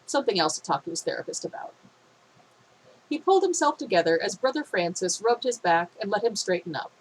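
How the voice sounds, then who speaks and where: Brief: woman story reader, slightly phlegmy, steady and expressive, faint hiss under the recording. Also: medium pitch, low-quality recording. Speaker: fan fiction reader. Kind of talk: reading a story aloud.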